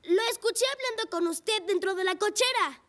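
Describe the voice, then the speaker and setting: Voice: very high-pitched, normal speed, very noisy. An actor voicing an earnest, eager young boy rescue motorcycle in a children's cartoon. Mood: urgent. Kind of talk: children's cartoon dialogue in Spanish. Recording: music behind the voice.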